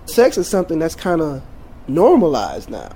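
A man in his forties from Jamaica, a teacher, talking in a casual interview, relaxed and rambling with many fillers and restarts. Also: a little high, moderate pace, breathy edge.

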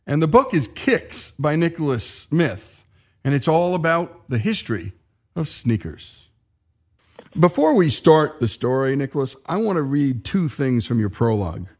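A man hosting a storytelling radio show, speaking in emphatic, deliberate phrases. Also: mid-range voice, a little mellow.

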